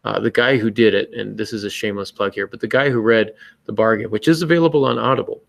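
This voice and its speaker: A man's voice: on the low side, fast talker, vocal fry, dry recording. A man co-hosting a podcast, giving casual writing advice in a joking, self-mocking manner. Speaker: podcast co-host and novelist.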